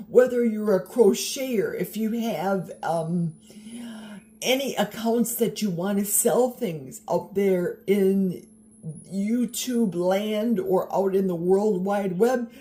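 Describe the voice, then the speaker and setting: Older woman, mellow voice, slow pace, chatty and encouraging, cooking vlog, handheld camera at home. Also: medium pitch. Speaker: vlogger. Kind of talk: casual vlog chat while cooking. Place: home kitchen.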